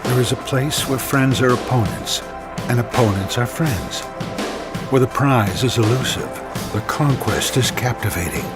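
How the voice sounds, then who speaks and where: A man narrating a scripted promo in a solemn, measured, dramatic manner. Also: mid-range voice, loud music behind the voice. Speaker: voice-over narrator.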